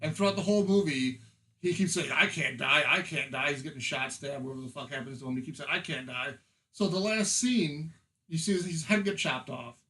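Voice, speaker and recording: A podcast co-host, a man with a forceful, bright voice pitched a bit high. He tells stories casually, with plenty of swearing and animated retelling. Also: brisk, low-quality recording. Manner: profane, animated storyteller. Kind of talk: casual anecdotal chat.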